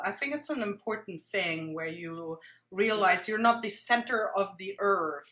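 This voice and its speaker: A woman from Germany, hosting a relaxed interview and talking chattily with many fillers. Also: mid-range voice. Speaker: host. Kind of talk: casual interview chat.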